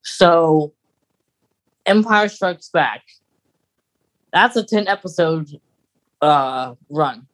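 A man co-hosting a podcast in casual chat, measured and thinking aloud as he goes. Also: a little high, lively intonation, fairly bright tone, rough recording. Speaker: podcast co-host.

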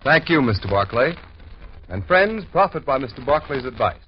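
A man's voice: medium pitch, big pitch swings, normal speed, lo-fi sound, slight background noise. A man announcing in a formal, emphatic, scripted old-time radio style.

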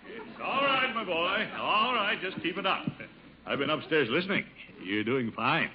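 An actor playing a pompous, jovial middle-aged uncle in an old radio comedy, hearty and self-satisfied. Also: male voice, a little high, lively intonation, moderate pace, natural voice. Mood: fatherly, encouraging. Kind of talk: 1950 radio comedy acting. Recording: dull old radio broadcast, faint noise.

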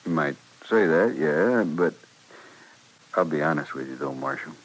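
Low-voiced, blunt frontier gunman. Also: expressive, a little slow, breathy edge, heavily acted, low-quality recording. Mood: subdued confession. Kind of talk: 1950s radio western acting. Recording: vintage 1950s radio drama recording.

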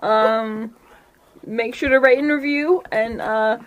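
A woman's voice: a little high, slow pace, rough recording. A woman hosting and narrating a tabletop game as game master, in a casual style. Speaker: game master and podcast host.

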